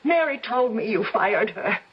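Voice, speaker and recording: A frail wife eaten up by suspicion and jealousy. Her voice sits fairly high with a husky edge, accusing in the theatrical manner of radio suspense, on a dull vintage recording. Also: expressive, unhurried. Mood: suspicious, interrogating. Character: frail, suspicious, bitterly jealous wife fearing she is being poisoned. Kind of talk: old-time radio suspense acting.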